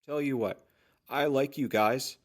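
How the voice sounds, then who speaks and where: A man's voice: medium pitch, a little slow, close to an everyday voice. Man playing an eager wasteland shopkeeper. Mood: eager, obliging. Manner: eager salesman's patter. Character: chatty, obliging male general-store trader in a wasteland town. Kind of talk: post-apocalyptic audio drama acting.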